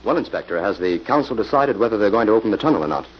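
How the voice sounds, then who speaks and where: An actor playing an earnest, determined crime-fighting investigator in the manner of 1940s radio drama. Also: male voice, lowish voice, animated, very fast, faint music behind, natural voice. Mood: businesslike. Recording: noisy, dull old radio recording.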